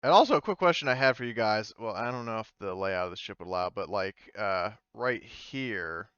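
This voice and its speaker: A man acting as game master, casually narrating a tabletop game and often pausing to think aloud. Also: on the low side, expressive, a bit bright, smooth, no room sound, highs cut off.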